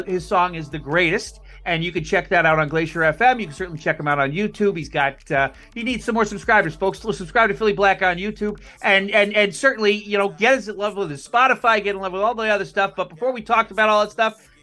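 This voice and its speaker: An enthusiastic man hosts a podcast in a high, bright voice. He asks questions eagerly and often stumbles over his words and repeats them as he goes. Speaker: podcast host. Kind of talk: upbeat interview hosting.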